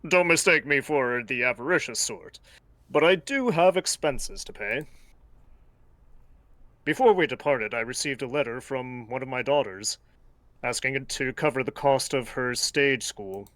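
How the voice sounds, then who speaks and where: A man voicing a practical sailor and father who speaks in a measured, earnest way, in a fan-voiced game playthrough. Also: mid-range voice, fairly bright tone, no room sound, natural voice. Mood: musing.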